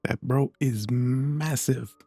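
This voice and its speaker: A gaming streamer with a soft, husky voice, giving casual let's play commentary. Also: mid-range voice, takes it slowly. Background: game music.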